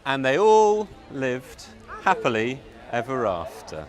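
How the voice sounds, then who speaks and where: A man narrating a fairytale live to children, theatrical and deliberate, with dramatic pauses. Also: medium pitch, huge pitch swings, a crowd in the background, noisy background. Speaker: storyteller narrator. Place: outdoor public event.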